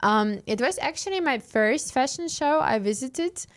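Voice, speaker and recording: A woman's voice: high voice, expressive, moderate pace. A woman speaking as a podcast host in a casual, conversational interview style.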